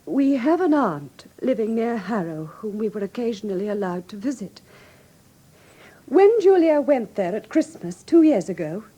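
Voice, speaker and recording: A woman with an English received pronunciation accent playing a frightened, grieving young gentlewoman who speaks formally and earnestly in a classic radio drama. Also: fairly high pitch, very animated, normal speed, slightly rough. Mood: anxious, sorrowful. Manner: formal, earnest, precise storytelling.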